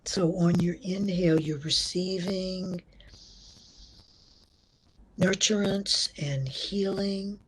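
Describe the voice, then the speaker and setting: A woman leading a guided meditation as instructor, speaking gently in short, measured phrases with a breathy, husky tone. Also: fairly low pitch, steady tone, rough recording.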